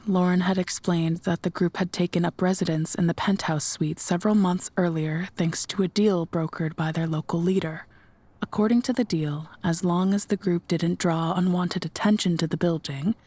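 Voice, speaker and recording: A woman's voice: on the low side, darkish tone. A woman reading scripted narration for an audio drama as its narrator.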